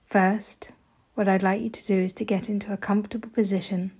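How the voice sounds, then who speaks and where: Female meditation guide, mellow and breathy, with an even, barely moving pitch and soothing, gentle instruction. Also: a little fast. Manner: soft, gentle delivery, often breathy.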